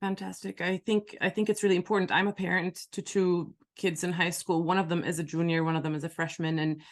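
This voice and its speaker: A middle-aged woman from Palestine hosting an interview, asking searching, halting questions. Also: on the low side, flat delivery. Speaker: interview host. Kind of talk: conversational interview.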